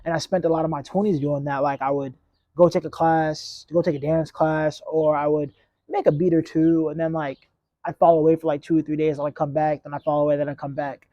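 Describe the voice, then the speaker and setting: A man's voice: fairly high pitch, little pitch movement, a little fast, dark tone, no room sound. A man in his late twenties hosting a casual solo podcast outdoors on a clip-on mic, talking in a reflective, rambling, laid-back way. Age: 20s. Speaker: podcast host.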